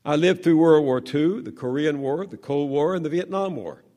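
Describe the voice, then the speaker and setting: An older journalist from the American South gives a measured speech, his voice sitting a touch high for a man. He takes slow, dramatic pauses, and his phrases sink to a near hush. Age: 70s.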